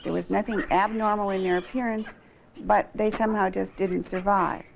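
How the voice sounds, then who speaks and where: A woman researcher explaining findings in an interview, with measured, halting and precise scientific phrasing. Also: mid-range voice, steady tone, creaky. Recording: dull, slightly noisy old film interview.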